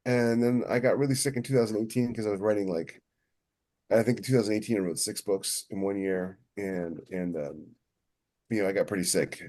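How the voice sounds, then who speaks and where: Low-pitched man chatting candidly. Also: darkish tone. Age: middle-aged. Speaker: podcast co-host, novelist. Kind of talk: casual podcast chat.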